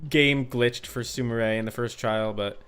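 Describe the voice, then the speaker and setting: A man's voice: medium pitch, steady tone, a little slow, a bit bright, clean tone. A man streaming a game and chatting with viewers in a rambling, informal, sweary way. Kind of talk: casual chat with viewers. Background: music.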